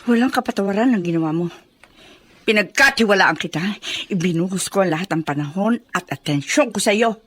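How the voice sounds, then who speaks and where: A Filipino actress playing a wealthy, wronged and remorseful matron in a radio drama, with emphatic delivery. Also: female voice, mid-range voice, animated, brisk, a bit bright. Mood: scornful fury. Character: wealthy matronly woman, wronged, fierce yet deeply remorseful.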